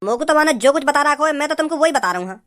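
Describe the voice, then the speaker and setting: A man puts on a shameless rustic cartoon fellow who runs a brothel. The voice is pitched up and high, rattling along quickly in a tattling, insistent ramble. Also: expressive, fairly bright tone. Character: brazen, gossipy rustic male brothel keeper. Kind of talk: amateur comedy cartoon dubbing.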